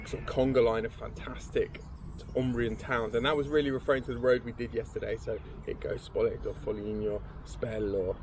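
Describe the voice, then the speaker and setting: A man's voice: medium pitch, steady tone, brisk, background noise. A man co-hosting a podcast in casual chat, rambling and restarting as he explains things. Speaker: podcast co-host.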